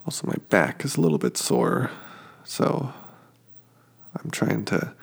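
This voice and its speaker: A sniffly man hosts a sleep podcast, talking very slowly and drifting from one detail to the next with lots of halting fillers. His low voice has heavy gravelly fry and a husky edge. He is speaking from his home kitchen. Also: very animated. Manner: meandering, halting, many fillers. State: sniffly from allergies. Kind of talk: slow rambling description for sleep.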